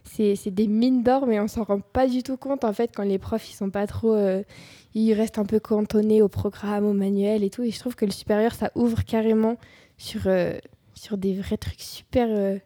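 Young woman, mellow warm voice, halting student chatter, close dry mic. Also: mid-range voice, steady tone, no room sound. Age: young adult. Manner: hesitant, many fillers and restarts. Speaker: co-host, student. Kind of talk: casual radio chat.

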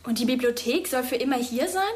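A woman's voice: high-pitched, quick pace, a little mellow, a little hiss or hum, lightly acted. A young woman playing a timid, frightened but candid teenage schoolgirl in a naturalistic radio drama, hesitant and plain-spoken. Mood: questioning.

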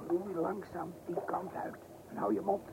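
A man's voice: high voice, level tone, normal speed, vocal fry. An actor playing an irritable, curt fisherman in a radio play, terse and snapping. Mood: irritated. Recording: muffled, noisy old radio recording.

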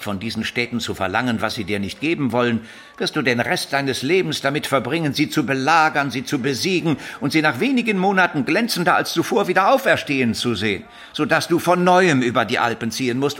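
An actor playing a shrewd, learned older bishop in a radio drama, speaking in a measured, didactic way. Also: male voice, medium pitch, fairly bright tone. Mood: earnest warning.

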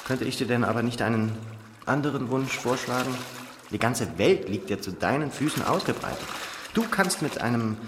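A man's voice: mid-range voice, animated, normal speed, natural voice. Man playing a sly talking fish in echoing reverb. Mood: coaxing. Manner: mockingly polite, theatrical. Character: sly talking warty old fish, servile and mocking. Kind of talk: German radio drama acting. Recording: echoing hall, long reverb, watery noise.

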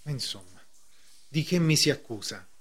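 A man's voice: medium pitch, animated, normal speed, husky. An actor playing a troubled, defensive accused man in a radio play, with an earnest way of talking. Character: troubled accused bank man protesting his innocence. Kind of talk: theatrical radio drama acting.

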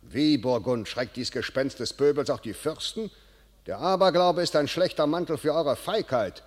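An actor playing a stern, sharp-tongued English commander in a classic radio play, declaiming verse with authority. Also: male voice, on the high side, normal speed, lightly acted. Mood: accusing. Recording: long hall reverb.

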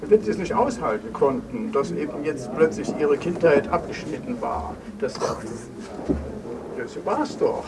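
A high-voiced man confronts someone bluntly and judgmentally in an audio drama. He is insistent and accusing, heard away from the microphone amid clear background noise. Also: expressive, normal speed. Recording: noisy, distant microphone with background ambience.

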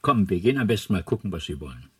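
Husky, gentle old planet designer. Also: male voice, sits low, big pitch swings, racing, dry recording, full character voice. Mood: brisk, resigned. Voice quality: husky, breathy. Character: gentle old-fashioned elderly male planet designer, wistful and amiable. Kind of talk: radio drama acting.

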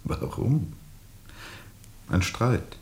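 An actor playing a weary, bitterly ironic steamboat captain in a radio play, speaking deliberately and sardonically with weighty pauses. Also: male voice. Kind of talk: radio play acting, testimony under questioning.

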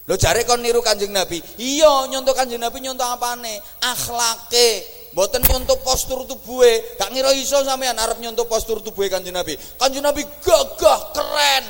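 A man with an East Javanese accent, giving a humorous religious sermon in an animated, teasing way. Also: very high-pitched, normal speed, gravelly. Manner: joking, animated, teasing the audience. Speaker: preacher. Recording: echoey hall.

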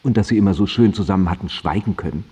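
A mocking inner voice in a radio play. It is deep, dark and husky, commenting ironically like a narrator. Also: male voice, racing. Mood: ironic. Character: mocking male inner voice narrating the hero's life.

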